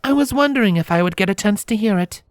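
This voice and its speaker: A man voicing a regal, gentle young pony princess with a soft, silvery voice and formal, courtly phrasing, in a fantasy story. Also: high voice, big pitch swings, moderate pace, no room sound, clean studio sound, voice pushed up. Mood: teasing.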